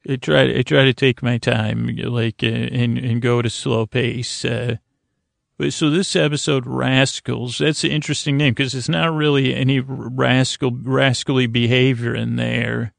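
Gravelly, meandering man podcasting for bedtime. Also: mid-range voice, steady tone, no room sound. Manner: halting, meandering, self-interrupting with many restarts. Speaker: podcast host. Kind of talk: rambling bedtime monologue to keep listeners company.

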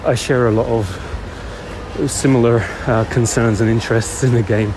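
A middle-aged man leaving a casual voice message for a podcast while on the move, hesitant and thinking aloud with many pauses. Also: mid-range voice, steady tone. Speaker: podcast caller. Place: on the way to work. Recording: voice message with loud travel background noise.

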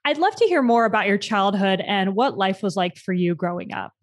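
A woman's voice: medium pitch, expressive, a little fast. A woman hosting a podcast, introducing her guest and asking questions with eager enthusiasm. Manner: warmly enthusiastic, scripted intro then chatty questions. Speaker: podcast host. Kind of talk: podcast interview.

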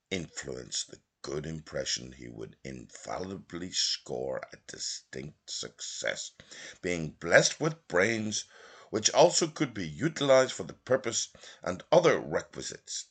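A middle-aged man from Ireland, reading literary prose expressively in measured, theatrical phrases with deliberate pauses. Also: sits low, husky. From Northern Ireland. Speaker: audiobook reader.

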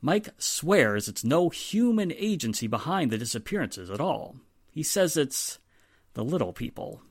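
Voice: mid-range voice, big pitch swings, a little slow, slightly rough, dry recording, exaggerated voice. An actor playing a weary, sardonic male police inspector in a 1940s-style radio drama, speaking emphatically with dry exasperation. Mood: reluctant.

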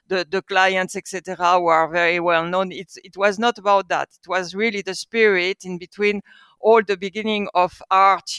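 A middle-aged woman with a French accent shares stories as an interview guest. Her voice is clear and bright, recorded very dry. She feels for her words, often repeating a phrase before moving on. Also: lowish voice, dry recording. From France. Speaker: guest, publishing house co-founder. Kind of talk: conversational storytelling.

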